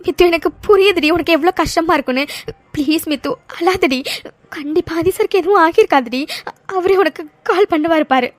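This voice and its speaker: A woman's voice: very high-pitched, brisk, soft background music. A woman playing a loyal young friend, earnestly coaxing and soothing, in a melodramatic audio serial. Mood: consoling.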